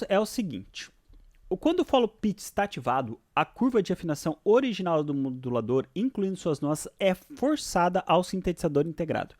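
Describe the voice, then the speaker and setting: A man with a Brazilian accent presenting a software tutorial, switching between read-out explanations and casual asides. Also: sits high, no echo, studio quality.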